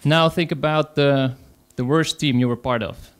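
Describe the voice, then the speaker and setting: A man's voice: on the high side, fairly even intonation, normal speed, smooth. A man with a Dutch accent, speaking English as a second language, gives a conference talk as an agile coach, hesitant, with many fillers. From Netherlands.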